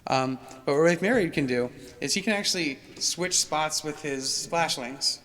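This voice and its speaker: A man's voice: on the high side, moderate pace, a bit bright. A man chatting casually and slangily while hosting and teaching a tabletop game. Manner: informal, slangy, calls people man and dude. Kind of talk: casual chat over a board game. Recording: background noise at a game table.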